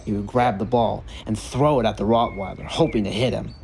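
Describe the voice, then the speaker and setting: A man reading a scripted story narration in a measured, earnest way. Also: fairly low pitch, fairly even intonation, vocal fry, crowd noise. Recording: music bed under the narration. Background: background music.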